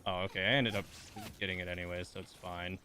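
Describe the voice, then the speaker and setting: A man's voice: deep voice, flat delivery, fast, sharp tone, slight background noise. A man livestreaming a game, thinking aloud in casual commentary and muttering complaints and coaching to himself.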